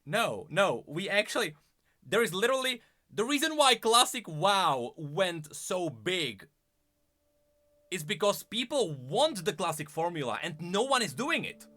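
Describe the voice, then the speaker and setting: A man's voice: sits high, sing-song, unhurried, pushed and bright. A man doing casual livestream commentary on gaming for his chat, speaking emphatically and repeating phrases for stress. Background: background music.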